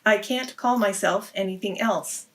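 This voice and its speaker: A woman's voice: medium pitch, a little slow, a bit bright. A woman hosting a read-aloud, narrating a story for children.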